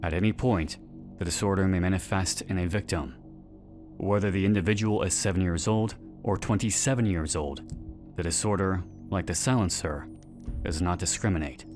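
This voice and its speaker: Male narrator, low register, earnest and measured scripted analysis read, soft music bed. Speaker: narrator of a series analysis video. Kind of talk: scripted narration, video essay. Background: background music.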